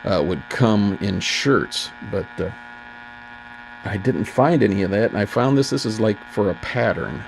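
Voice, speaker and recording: Gravelly man rambling casually, noisy room. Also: on the low side, lively intonation, unhurried. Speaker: vintage radio repair hobbyist and video host. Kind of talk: casual unscripted demonstration talk. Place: hobby room at home. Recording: noisy home room recording with ambient sound.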